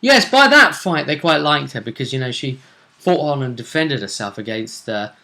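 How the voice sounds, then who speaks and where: Casting: male game master, sharp bright tone, average pitch, casually narrating tabletop events in fragments. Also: lively intonation, normal speed, vocal fry. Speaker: game master recapping the session. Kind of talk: casual tabletop role-play recap.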